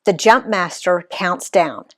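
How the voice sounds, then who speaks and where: A woman reading her own travel story aloud in an expressive read-aloud style, giving the quoted people voices of their own. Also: fairly low pitch. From Alabama, USA. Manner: unhurried, lively reading that voices the quoted characters.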